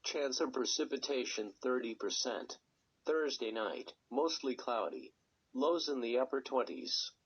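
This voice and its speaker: Synthetic man's voice for weather radio bulletins. Husky, impersonal, scripted, on a muffled lo-fi recording. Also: medium pitch, animated. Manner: measured, impersonal synthetic delivery. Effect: synthetic text-to-speech voice on weather radio. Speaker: automated weather radio announcer. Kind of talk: scripted weather forecast reading.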